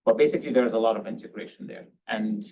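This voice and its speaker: A man's voice: fairly low pitch, very fast, crowd noise. A man from Syria speaking as a panelist at a policy discussion, often hedging and restarting his sentences with um and I mean. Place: panel event hall. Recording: distant mic, faint background noise.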